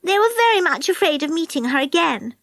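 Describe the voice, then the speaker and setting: Woman doing a chatty young girl, very high and lively, storybook. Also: a little fast, no echo, full character voice. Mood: eager.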